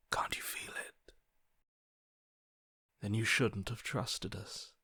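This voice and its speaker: A man voicing a broken, servile inner voice in a video game, hushed and breathy, pleading with worshipful defeat. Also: lowish voice, dry recording, studio quality, full character voice. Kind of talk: dramatic video game voice acting.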